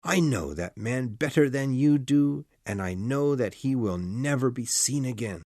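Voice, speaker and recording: A pale, shaken doctor with a thin, weak voice, read by a man, pleading anxiously. He speaks slowly, his pitch rising and falling widely. The sound is close and dry. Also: expressive, a little mellow, dry recording. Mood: agitated. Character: pale shaken middle-aged male doctor, nervous. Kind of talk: audiobook dialogue.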